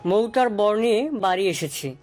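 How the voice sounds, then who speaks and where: A man voicing a gentle father who speaks tenderly to his grown daughter in an audio story. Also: high-pitched, lively intonation, normal speed. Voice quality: tender, soft-spoken. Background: soft music.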